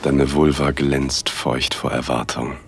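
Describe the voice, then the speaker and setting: A man narrating a first-person erotic audio drama in a hushed, intimate, unhurried way, with a husky voice. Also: a bass voice, little pitch movement. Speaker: narrator and male lead.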